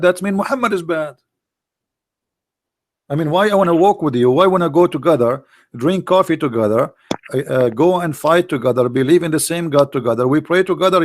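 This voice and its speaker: A man whose first language is Arabic, debating on a live online call, hoarse from talking, pressing his point with rhetorical questions and repeated words. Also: on the high side, expressive, fairly quick, no echo, studio quality. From Middle East. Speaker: debate host.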